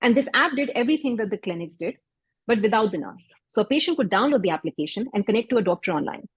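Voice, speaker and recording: Woman, Pakistani accent, Urdu native speaker, doctor and founder, earnest measured talk, husky voice, mid pitch. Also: expressive, no echo. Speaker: doctor and health startup founder. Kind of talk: event talk.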